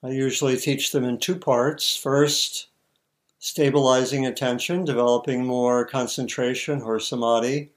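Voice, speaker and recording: A man teaching meditation, giving guided instructions in short, measured phrases with long pauses. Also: mid-range voice, fairly even intonation.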